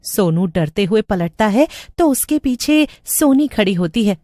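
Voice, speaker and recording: A woman narrating a cartoon horror story in a steady storybook manner. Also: medium pitch, a little slow, dry recording, clean studio sound.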